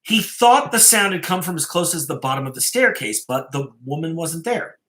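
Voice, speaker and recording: A man reading a story aloud as a dramatic storyteller, deliberate and suspenseful, stressing key phrases and dropping to hushed endings. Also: fairly high pitch, animated. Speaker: storyteller, host of a live reading show.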